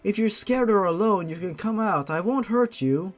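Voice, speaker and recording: Story lead, read by a man: cocky killer, coaxing and offhand, higher register, music underneath. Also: lively intonation, normal speed. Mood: soft, coaxing. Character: bored, cocky killer narrator who turns sweetly motherly.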